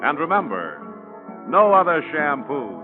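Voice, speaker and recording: A man's voice: fairly high pitch, very animated, unhurried. A man working as a 1940s radio announcer, delivering advertising copy in a polished, emphatic way. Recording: muffled 1940s radio broadcast with music. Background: music.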